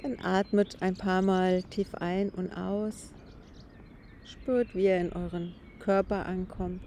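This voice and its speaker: A woman guides a meditation with a very soft, mellow, dark-toned voice. She speaks gently and haltingly, taking her time. She is outdoors in a meadow, with birdsong and faint background noise around her. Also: medium pitch, little pitch movement. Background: birds, grazing horses, distant construction noise.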